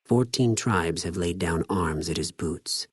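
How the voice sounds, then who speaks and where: Deep-voiced, coldly menacing legion spy. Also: male voice, breathy edge, no echo, studio quality. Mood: contemptuous menace. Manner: formal, measured, coolly condescending. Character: cold menacing male legion spy, zealous and contemptuous. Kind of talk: scripted video game character dialogue.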